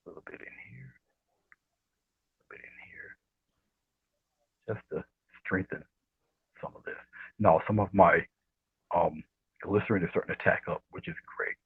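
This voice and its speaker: A man with a low, husky voice giving a casual painting demonstration, speaking softly and often trailing off into a murmur. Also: a little slow, pauses often. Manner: soft, murmuring, trails off near whisper. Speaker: guest painting instructor. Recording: dull, roomy livestream audio.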